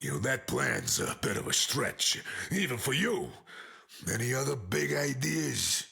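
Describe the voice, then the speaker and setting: A gruff rock-skinned superhero bruiser from a video game, deeply gravelly and forceful. He talks in streetwise New slang, swinging up and down as he wisecracks, with a soft heart underneath. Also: big pitch swings, a little slow, full character voice. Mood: wry. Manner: streetwise, slangy old-fashioned tough-guy talk. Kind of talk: video game hero banter.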